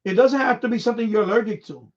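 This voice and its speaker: High-pitched man on a lo-fi stream. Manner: halting, repeats words and phrases often. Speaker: live stream host. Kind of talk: casual unscripted live-stream chat. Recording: dull, lo-fi microphone sound.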